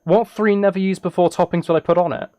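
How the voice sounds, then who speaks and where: A man chatting casually on a gaming livestream, rambling haltingly with playful, mock-serious dry humour. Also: high-pitched, steady tone, warm tone, dry recording. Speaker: live streamer.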